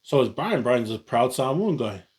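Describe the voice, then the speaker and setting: A man's voice: medium pitch, sing-song, moderate pace, a little mellow. A man, talking casually on his own and often restarting his sentences. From New Zealand. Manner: rambling, frequent restarts and self-corrections. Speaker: vlogger. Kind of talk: casual solo talk.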